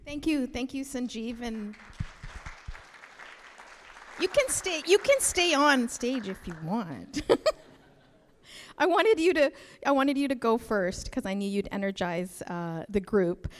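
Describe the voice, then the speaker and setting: A woman's voice: a little high, very animated, slow pace, pauses often. Canadian woman welcoming a conference crowd. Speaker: nonprofit leader and co-host. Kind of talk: conference opening speech. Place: conference hall. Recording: long hall reverb.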